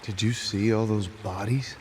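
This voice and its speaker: An actor playing a brash young thief and fortune seeker in a game cutscene, sarcastic and confrontational. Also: male voice, on the low side, steady tone, a little slow, long reverb tail, slight background noise, natural voice. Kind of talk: video game cutscene acting.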